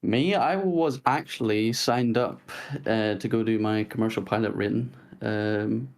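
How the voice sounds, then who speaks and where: A young man with a soft Northern Irish accent, chatting casually as a podcast guest with a relaxed, rambling way of talking. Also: on the low side, measured pace. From Northern Ireland. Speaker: guest YouTuber.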